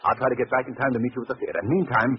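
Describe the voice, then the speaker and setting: An actor playing an earnest, decisive gentleman detective, well-spoken and urgent, in an old radio mystery. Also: medium pitch, rapid-fire, slightly rough. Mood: grave, decisive. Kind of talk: 1940s radio acting. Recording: muffled old radio recording, faint noise.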